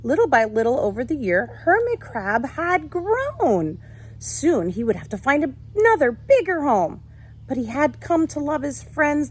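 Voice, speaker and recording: A woman teacher reading a picture book aloud in an animated way, talking to children. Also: high-pitched, low-quality recording. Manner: animated, addressing children with questions.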